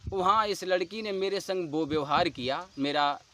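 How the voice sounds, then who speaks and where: A man's voice: high-pitched, moderate pace, pushed and bright. A man with a rural western Uttar Pradesh Hindi accent giving a personal account in an earnest, insistent, colloquial way. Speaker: dholak player. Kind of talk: personal account told to camera.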